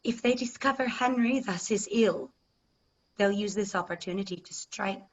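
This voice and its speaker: A woman's voice: medium pitch, normal speed, close to an everyday voice. A woman playing a resolute, grieving English queen in classical verse over a video call. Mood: resolute, commanding. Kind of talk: classical verse stage acting. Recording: muffled video-call audio.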